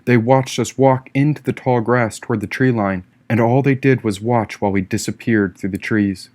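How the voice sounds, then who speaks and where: A man reading a first-person story as an audiobook narrator, expressive and theatrical in his delivery. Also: medium pitch, moderate pace. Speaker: author reading own audiobook.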